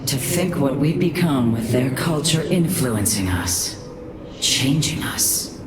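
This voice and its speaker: A woman's voice: very deep voice, expressive, slow, a loud crowd, heavily acted. An actress playing a proud, wary alien matriarch in a video game, formal and guarded in her delivery. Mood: wary, troubled. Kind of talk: dramatic video game cutscene acting.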